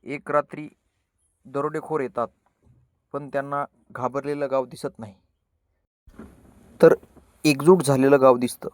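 A man narrating a scripted children's story as a storyteller, with measured, dramatic delivery in short phrases. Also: mid-range voice, fairly even intonation.

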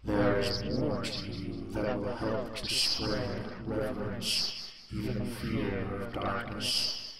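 A man voicing an ominous oracle spirit of darkness in a fantasy tabletop game, with a growling rasp and solemn delivery. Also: fairly low pitch, completely flat delivery, a bit bright, full character voice. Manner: slow, solemn, portentous. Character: ominous dark oracle spirit speaking through a mouth.

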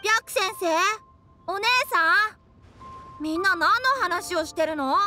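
A woman's voice: very high voice, a little slow, sharp tone, soft background music, heavily acted. A woman voicing a small, innocent, earnest little undead girl in a game, with simple childlike phrasing. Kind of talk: scripted game dialogue.

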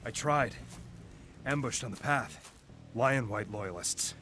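An actor playing an earnest young rebel warrior leader in a video game, solemn, urgent and dramatic. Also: male voice, medium pitch, slow, pushed and bright, slight background noise. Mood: grave, urgent. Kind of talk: video game cutscene acting.

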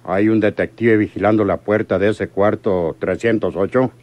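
An actor in a radio drama playing a ruthless, commanding crime boss who speaks curtly and questions like an interrogator. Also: male voice, on the low side, steady tone, normal speed, lo-fi sound, lightly acted. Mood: stern, probing. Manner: curt, interrogating, domineering. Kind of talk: radionovela acting.

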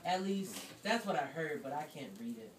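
Heard from far off in a noisy, echoey room, a woman plays a good-natured puppet farmer. The delivery is folksy and very animated in pitch. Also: moderate pace. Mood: admiring, chatty. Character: plain-spoken busy Korean farmer, folksy, good-natured. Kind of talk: amateur puppet-show acting. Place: classroom, performers behind a draped table. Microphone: distant camera.

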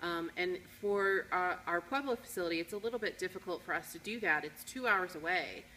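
A young woman with a fairly low but bright, forward voice explains things briskly in an interview. She is seated in a warehouse with faint room noise behind her. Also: poor audio. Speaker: collections manager. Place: warehouse indoors.

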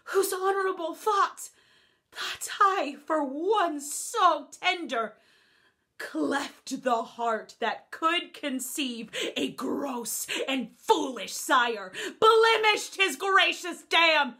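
Grieving, furious noblewoman, declamatory, high and lively , done by a woman. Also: extremely slow, exaggerated voice. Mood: impassioned grief. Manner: impassioned, declamatory, swinging to hushed whispers. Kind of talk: classical verse monologue.

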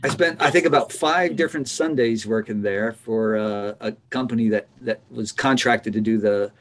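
An American man in his fifties, a professor interviewed on a video call from a small office, telling casual anecdotes in a halting, often amused way. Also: on the low side, noisy background. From United States. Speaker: interview guest, biology professor. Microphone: computer microphone on a video call.

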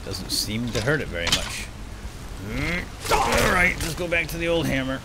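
A man's voice: a little high, wildly swooping pitch, moderate pace, vocal fry, very noisy. A man giving playful, lightly role-played video game commentary, talking to himself and his in-game companions. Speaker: let's player. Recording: game sound effects and ambience behind. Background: video game cave ambience and combat.

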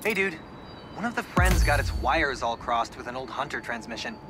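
A man's voice: medium pitch, lively intonation, normal speed, background noise, close to an everyday voice. A man playing a laid-back, helpful young tech sidekick in a video game, casual and friendly. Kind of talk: naturalistic video game dialogue.

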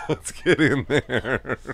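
A man in his forties hosting a casual podcast chat in a studio on a boom mic, playful and laughing often. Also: very slow, creaky, fairly bright tone, slight background noise. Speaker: podcast host. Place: studio room with stone wall. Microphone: studio boom mic with headphones.

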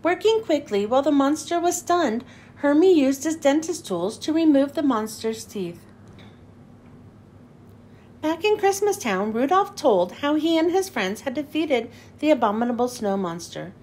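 A woman reading a children's storybook aloud in an expressive, animated, emphatic way. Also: high voice, normal speed, slight background noise. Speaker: children's book reader.

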